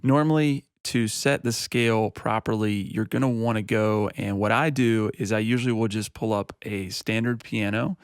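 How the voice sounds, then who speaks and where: A man with a deep voice explaining audio software in a relaxed, casual tutorial manner. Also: moderate pace, hardly any pauses, clear, resonant tone, no echo, studio quality. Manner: relaxed, explanatory, uses fillers like "you know".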